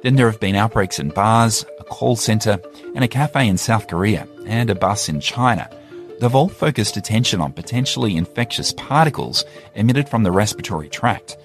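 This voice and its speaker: A man narrating a scripted news report in a measured, read-aloud way. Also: on the low side, expressive, faint music behind.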